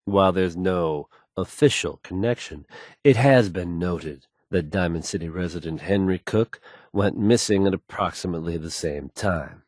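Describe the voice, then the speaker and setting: Confident, dry-sounding radio DJ. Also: male voice, sits low, moderate pace, a little mellow, dry recording. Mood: wry, assured. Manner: assured, polished, folksy. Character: confident, easygoing male radio newsman, suave and wry. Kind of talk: smooth scripted radio news delivery, video game voice acting.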